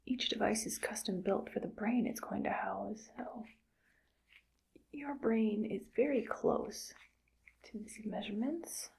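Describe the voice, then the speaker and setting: A woman's voice: medium pitch, creaky, breathy edge, full character voice, poor audio. A woman whispering as a callous mad scientist. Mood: cold musing. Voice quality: soft whisper. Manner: slow, musing, coldly matter-of-fact. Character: callous female mad scientist harvesting brains. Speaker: ASMR roleplay performer. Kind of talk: ASMR roleplay. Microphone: close sensitive mic.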